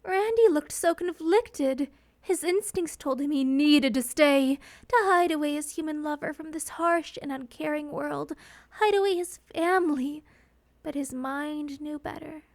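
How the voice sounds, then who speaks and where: A woman narrating a scripted romantic story in an expressive, theatrical storytelling manner. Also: high-pitched, measured pace, no room sound. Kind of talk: scripted dramatic fan fiction narration.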